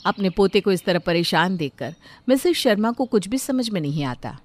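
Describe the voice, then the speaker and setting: A woman narrating a moral family story in a steady storytelling manner. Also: mid-range voice, normal speed, dry recording.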